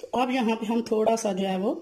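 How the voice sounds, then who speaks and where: A woman, hosting a cooking channel and narrating a recipe step by step, often filling pauses with "jo hai vo". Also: fairly high pitch, steady tone, a little hiss or hum. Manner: leans on the filler "jo hai vo", gentle instructive tone.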